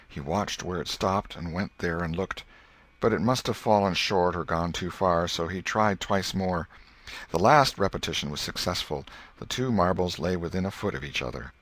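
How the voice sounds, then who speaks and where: A man's voice: sits low, a bit bright. A man reading a classic story aloud as an audiobook narrator, in scripted literary storytelling.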